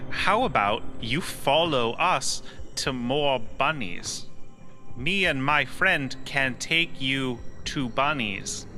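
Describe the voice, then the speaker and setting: Man playing a nervous, polite, bright-voiced negotiator. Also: mid-range voice, animated, slow pace, faint music behind, voice pushed up, full character voice. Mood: nervous coaxing. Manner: gentle, coaxing, hesitant. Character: polite, anxious negotiator coaxing a big creature.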